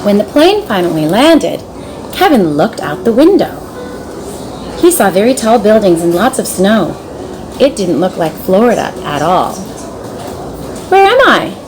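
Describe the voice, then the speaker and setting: Animated woman reading a children's story. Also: medium pitch, measured pace, background noise. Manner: dramatic storytelling. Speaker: storybook reader. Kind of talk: expressive scripted children's story narration.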